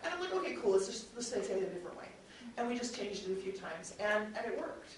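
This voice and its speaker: A woman's voice: mid-range voice, sing-song, fast talker. Rambling woman on an echoey convention panel. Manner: rambling, self-correcting, laughs often. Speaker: podcast host and audio drama writer. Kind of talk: panel discussion. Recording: very echoey room, distant mic, faint noise.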